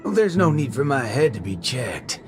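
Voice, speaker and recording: A man's voice: medium pitch, sing-song, moderate pace, natural voice. A man voicing a sarcastic, exasperated, grumbling man in a video game. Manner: wry, exasperated. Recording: music behind the voice.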